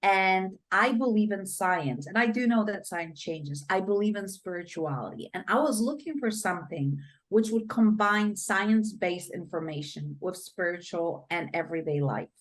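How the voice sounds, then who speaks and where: A woman's voice: mid-range voice, normal speed, few pauses, low-quality recording. Bubbly middle-aged woman from Poland. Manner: bubbly, upbeat and expressive. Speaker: guest, brain coach. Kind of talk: podcast interview.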